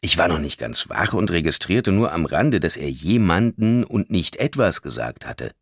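A man's voice: sits low, sing-song, moderate pace, dry recording, clean studio sound. An actor reading a crime novel as its uneasy male first-person narrator, in a scripted storytelling style with acted dialogue. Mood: narrating, tense. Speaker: audiobook narrator.

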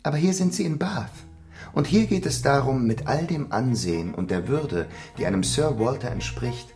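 A man playing a suave, worldly, status-minded gentleman in a literary radio play, polished and courteous in his persuasion. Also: medium pitch, animated, normal speed, soft background music, close to an everyday voice. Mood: amused, persuasive.

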